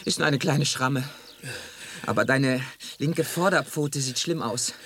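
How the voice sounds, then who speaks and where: An actor playing an earnest, plucky young tomcat in love in a radio drama, speaking in formal, wordy sentences. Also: male voice, medium pitch, measured pace, a bit bright, a little hiss or hum, natural voice. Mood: urgent, helpful.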